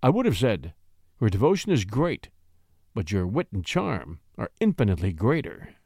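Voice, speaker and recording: A huge, suave conspirator of a marquis, voiced by a male storyteller. His speech is grand yet softened and courtly, with a very animated melody and a dry sound. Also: fairly low pitch, moderate pace, breathy edge, dry recording, pitched below the performer's own voice, full character voice. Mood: courtly, cautious. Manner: great voice softened by habit, courtly.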